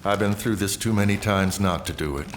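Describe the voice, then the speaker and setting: An actor playing a weary, terse lieutenant with a restrained manner in an audio drama. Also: male voice, fairly low pitch, fairly quick, slight background noise, lightly acted. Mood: weary, guilty. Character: weary, guilt-ridden male lieutenant, quietly resolute.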